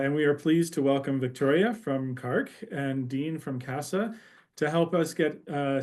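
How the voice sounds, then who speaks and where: A man who is a policy analyst, opening a webinar as host, talking quickly with many filler sounds. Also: mid-range voice, darkish tone. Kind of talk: webinar introduction. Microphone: video call.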